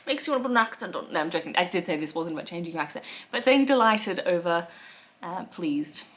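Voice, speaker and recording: Woman, Australian accent, thirties, slightly low voice, wry casual vlog talk, living room at home. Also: lively intonation, a little fast. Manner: chatty and wry, with frequent soft laughs and fillers. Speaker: lifestyle vlogger.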